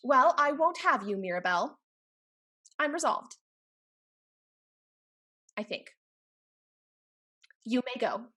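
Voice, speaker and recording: An actress playing a capricious, teasing young society heiress in a Restoration stage comedy, with a haughty, playful, theatrical delivery. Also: female voice, on the high side, animated, takes it slowly, long pauses, sharp tone, exaggerated voice. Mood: imperious, flippant.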